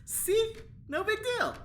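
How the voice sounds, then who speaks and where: A woman acting in an audio drama as an overconfident, excitable engineer who is eager to keep the peace and quick to defend herself. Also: very high voice, very noisy, full character voice.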